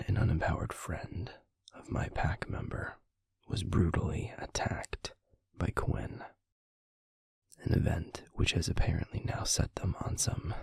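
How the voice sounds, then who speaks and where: A man playing a stern, guarded werewolf pack alpha in an audio roleplay, speaking formally and deliberately in a gravelly, husky voice. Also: low-pitched, no echo, full character voice. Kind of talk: scripted audio roleplay acting.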